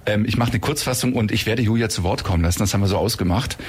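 Husky, fast-talking man in his fifties. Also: fairly low pitch, a little mellow. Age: 50s. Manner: talkative, joking, rambling. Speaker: actor at a youth theater. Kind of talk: casual radio chat.

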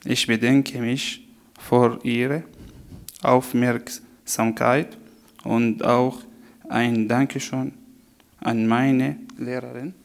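A young man, not a native German speaker, slowly and carefully reads aloud his own short text about his new life. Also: mid-range voice, level tone, creaky. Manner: slow, careful reading with halting restarts. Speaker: course participant reading his story.

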